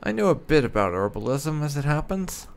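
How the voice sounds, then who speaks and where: Guy gaming host roleplaying through a game, gravelly, super swoopy intonation, musing commentary. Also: fairly high pitch, sing-song, fairly quick, soft background music. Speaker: gaming channel host roleplaying his character. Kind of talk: playthrough commentary, reading dialogue choices aloud.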